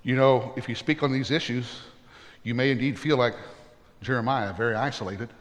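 A man's voice: mid-range voice, moderate pace, big-room sound. A man preaching a sermon as pastor, earnest and plainspoken, with folksy humorous asides.

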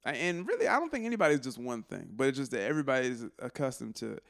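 A man's voice: mid-range voice, big pitch swings, fast talker, gravelly. An American man in casual podcast conversation, talking in slangy, sweary bursts and repeating himself for emphasis. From born in Brooklyn, from Atlanta, US. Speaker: podcast guest, rapper and lawyer.

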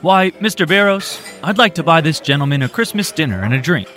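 A man voicing a generous, gentlemanly male diner who speaks firmly. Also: fairly high pitch, animated, brisk, music in the background, voice pushed up, close to an everyday voice.

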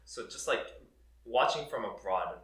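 A man hosting a casual podcast, relaxed and steering the conversation. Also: medium pitch, a little slow, roomy sound. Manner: relaxed, steering the talk, laughs easily. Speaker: podcast co-host. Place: coworking room.

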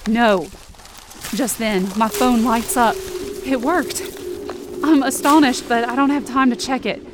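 A woman's voice: a little high, steady tone, a little slow, background noise, close to an everyday voice. A woman playing a wounded, angry, defiant young woman, narrating in the first person with intense feeling in an audio drama. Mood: urgent, distraught. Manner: intense, emotive.

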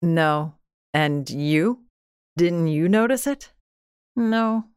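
A woman's voice: on the low side, animated, drawn-out words, a little mellow, clean tone, dry recording, studio quality. A woman voicing a panicked, frightened young wife who stammers and cries out in a storybook reading. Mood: anxious. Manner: stammering, crying out in alarm.

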